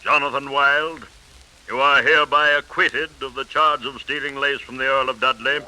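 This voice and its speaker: An actor playing a stern, solemn eighteenth-century judge, formal and declamatory, in 1930s radio drama style. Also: male voice, mid-range voice, fairly even intonation, moderate pace, sharp tone. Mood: stern, commanding. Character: stern, solemn, unbending male 18th-century court judge. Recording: scratchy 1930s radio broadcast.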